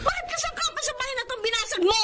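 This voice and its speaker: A woman's voice: very high-pitched, expressive, very fast, bright, forceful tone, heavily acted. A woman playing a demanding, sulky young girlfriend in a melodramatic radio drama, sounding aggrieved and complaining. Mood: furious, taunting.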